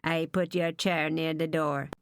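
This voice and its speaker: A woman's voice: deep voice, little pitch movement, measured pace, clear, resonant tone. A woman voicing a bitter, resentful middle-aged man, brooding and scornful like a preacher, in an audiobook reading.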